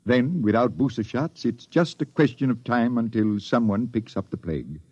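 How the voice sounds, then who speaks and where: An actor playing an apologetic older ship's doctor in a 1950s radio drama, husky, hesitant and deferential. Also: male voice, medium pitch, moderate pace, a little mellow, dry recording, natural voice. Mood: grave, contrite. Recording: dull, dry vintage radio recording.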